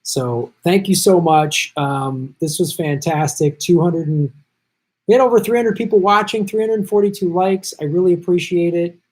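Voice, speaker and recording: A man's voice: sits high, a little mellow, rough recording. A middle-aged man hosting a live stream, chatting casually and reading viewer comments while he is losing his voice and jet-lagged. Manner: chatty, thanks viewers by name, quick asides. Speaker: livestream host.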